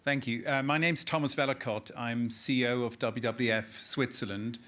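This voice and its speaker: A man's voice: medium pitch, fairly even intonation, normal speed, fairly bright tone. A middle-aged man, a nonprofit chief executive, introducing his views on a panel. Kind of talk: spoken self-introduction.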